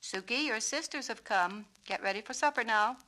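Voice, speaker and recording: A woman voicing a practical, no-nonsense mother in a storybook, brisk and firm. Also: fairly high pitch, pushed and bright, slight background noise.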